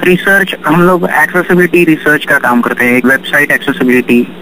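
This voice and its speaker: A man's voice: fairly high pitch, level tone, a little fast, pushed and bright, low-quality recording. A man giving explanatory interview answers, mixing English technical terms into his Hindi. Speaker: interview guest, accessibility researcher.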